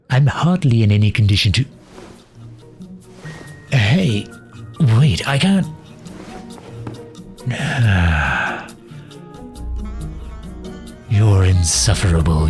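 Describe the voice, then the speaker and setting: A man playing a sardonic, loyal fantasy mage advisor in an intimate ASMR roleplay, dry and grumbling, with a husky, breathy delivery. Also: fairly low pitch, full character voice. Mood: incredulous, flustered. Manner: dry, grumbling, sharp-tongued, with hesitant breaks.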